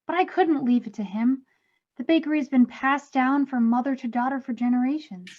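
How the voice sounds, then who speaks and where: A woman playing a candid, motherly ghost of a bakery owner in an animated comedy table read. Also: sits high, moderate pace, lo-fi sound. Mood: candid, regretful.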